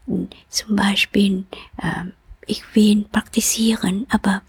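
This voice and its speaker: Interviewte Nonne, Frau mittleren Alters mit vietnamesischem Akzent, hauchig, langsam, ruhig. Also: female voice, mid-range voice, darkish tone, breathy edge. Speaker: Buddhist nun, interviewee. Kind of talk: calm reflective interview answers.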